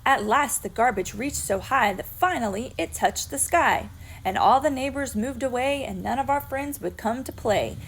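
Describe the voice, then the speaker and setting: Lady teacher reading rhyming poems for kids, expressive, voice a bit on the high side. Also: normal speed, slight background noise, rough recording. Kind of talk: children's read-aloud of rhyming poems.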